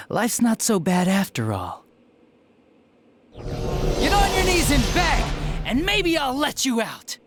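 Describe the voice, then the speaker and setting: An actor playing a smug, spiteful young detective villain in a video game, with a sneering, flippant and theatrical manner. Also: male voice, very high-pitched, huge pitch swings, takes it slowly, pauses often, over music, heavily acted. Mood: gleeful mocking. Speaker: police detective. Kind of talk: dramatic video game voice acting.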